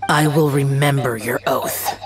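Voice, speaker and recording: An actor playing a stern, terse demon-conquering guardian in a video game, sounding distant. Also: male voice, medium pitch, fairly even intonation, a little slow, a crowd in the background, lightly acted. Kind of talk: video game character acting.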